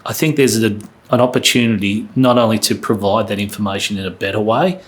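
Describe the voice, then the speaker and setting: A man's voice: on the low side, brisk. A man. He speaks as a podcast guest in a conversational interview, setting out his points earnestly and leaning on key words for emphasis. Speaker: podcast guest, company employee.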